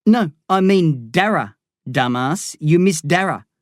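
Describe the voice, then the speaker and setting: A man's voice: fairly high pitch, expressive, slow, dry recording, clean studio sound, voice pushed up. A man voicing a volatile young drug boss who swaggers, taunts and swears, as a character in an audiobook. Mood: friendly.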